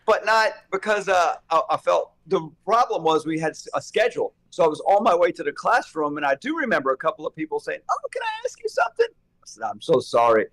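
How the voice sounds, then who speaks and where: A man co-hosting a casual chat, slightly hoarse and rambling as he thinks aloud. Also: on the high side, huge pitch swings, brisk, fairly bright tone. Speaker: co-host.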